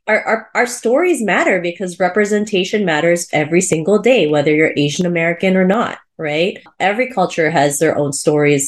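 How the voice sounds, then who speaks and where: A woman born in the Philippines hosting an interview podcast, talking in an enthusiastic, effusive way. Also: low voice. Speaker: podcast host.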